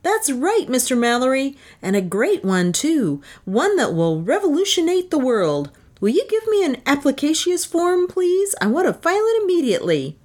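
A woman voicing an eccentric, boastful male inventor in a storybook, excitable and bashful, with grandiloquent mangled long words. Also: high-pitched, normal speed, hardly any pauses, pitched above the performer's own voice. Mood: grandly eager.

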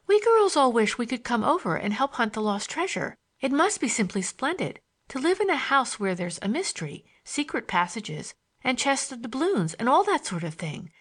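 A woman's voice: fairly high pitch, sing-song, normal speed, hardly any pauses, breathy edge, pitched above the performer's own voice. A woman voicing a playful, cheeky teenage schoolgirl with romantic notions, chattering in a teasing, girlish way for an audiobook.

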